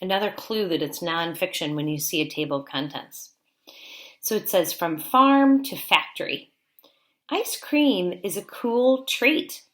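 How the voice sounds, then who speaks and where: A woman teacher reading aloud to young children in simple, deliberate phrasing, pausing to explain as she goes. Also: fairly low pitch, expressive, fairly bright tone. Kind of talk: read-aloud lesson with explanatory asides.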